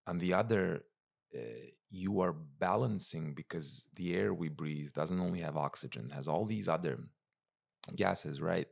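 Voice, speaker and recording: Male interview guest, thirties, from Serbia: rumbling bass register, thick gravel, casual delivery. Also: measured pace. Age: 30s. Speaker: interview guest, breathwork and spiritual coach. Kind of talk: casual conversational storytelling.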